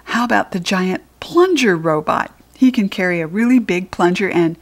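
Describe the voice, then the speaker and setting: A woman's voice: medium pitch, expressive, moderate pace, natural voice. A woman voicing an upbeat, inventive young schoolboy sidekick in a children's storybook, cheerful and agreeable. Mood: excited.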